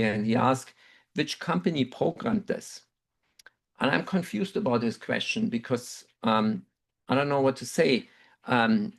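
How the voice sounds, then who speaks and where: A middle-aged man with a German accent and German as his first language, telling a personal story in a measured, reflective way with frequent pauses and ums. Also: mid-range voice. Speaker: webinar speaker, dental researcher.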